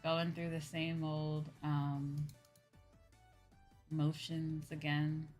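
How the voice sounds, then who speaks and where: Lower-pitched young woman chatting casually on stream. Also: monotone, drawn-out words, darkish tone, faint music behind. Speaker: VTuber streamer. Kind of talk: casual livestream chat with viewers.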